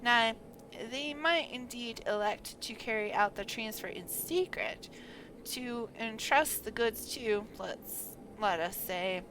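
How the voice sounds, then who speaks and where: Measured, shrewd adventurer woman, read by a streamer. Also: a little high, a bit bright, slight background noise, low-quality recording. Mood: sly. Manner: formal, archaic, measured phrasing. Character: shrewd, composed woman adventurer in fantasy game.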